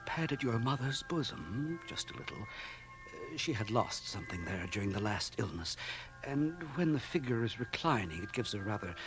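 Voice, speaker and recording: An actor playing a fussy, soft-spoken undertaker, polite and delicately hesitant, in a radio drama. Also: male voice, mid-range voice, big pitch swings, moderate pace, music in the background, rough recording. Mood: unctuous, confiding. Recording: 1980 radio drama, home cassette transfer.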